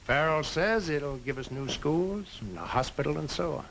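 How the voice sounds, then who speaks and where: An actor playing a sober, principled small-town man in a 1950s film drama, speaking earnestly and deliberately on an old soundtrack. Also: a little high, lively intonation, vocal fry, a bit bright, slight background noise, poor audio. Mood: grave, earnest.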